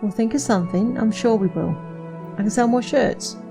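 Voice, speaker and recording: An actress playing a gentle, weary young wife in an audio drama, earnest, cautious and soft-spoken. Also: female voice, on the high side, normal speed, vocal fry, over music. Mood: earnest, reassuring. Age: young adult.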